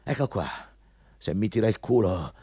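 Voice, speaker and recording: An actor playing a bullying, scornful company recruiter in a radio drama, dismissive and contemptuous. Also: male voice, lowish voice, lively intonation, moderate pace, mellow, breathy edge, no echo, studio quality, exaggerated voice. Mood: contemptuous anger. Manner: scornful, dismissive, barking questions. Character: contemptuous, bullying male company recruiter, foul-mouthed anti-communist.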